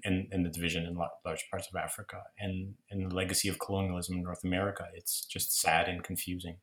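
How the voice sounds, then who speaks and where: Deep-voiced reflective man, roomy echo. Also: a bit bright. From Toronto, Canada. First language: Greek. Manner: reflective, rambling, many restarts. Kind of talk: casual conversation.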